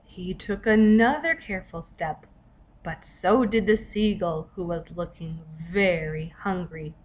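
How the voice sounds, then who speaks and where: A woman narrating a children's story expressively, in deliberate short phrases with dramatic pauses. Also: on the low side. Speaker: author reading own story. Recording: dull lo-fi recording, faint noise.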